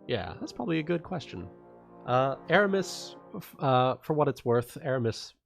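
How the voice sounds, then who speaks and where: A man running a livestreamed tabletop game as game master, narrating casually and haltingly, often repeating his phrases. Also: medium pitch, sing-song, vocal fry, soft background music.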